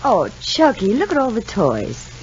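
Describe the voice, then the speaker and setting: A gracious mother in a 1950s radio drama. She soothes with lively, varied intonation. The vintage recording is dull, with faint noise. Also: fairly high pitch, a little slow, full character voice. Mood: pleasant. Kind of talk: 1950s radio soap acting.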